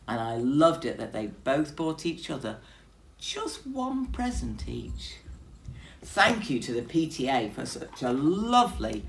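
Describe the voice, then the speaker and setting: A woman's voice: very deep voice, sing-song, unhurried, gravelly, slight background noise. A woman teacher reading a story expressively to young children.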